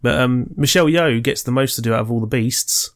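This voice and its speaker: A man co-hosting a casual film-review podcast, chatty and jokey. He swears freely and ribs his co-host as he talks. His voice sits at an everyday middle pitch. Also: a little fast. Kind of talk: casual chat.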